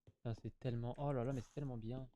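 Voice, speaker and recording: A man's voice: medium pitch, steady tone, fast talker, noisy background. A man giving casual, slangy gameplay commentary, chatting to his viewers and often repeating himself. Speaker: gaming YouTuber.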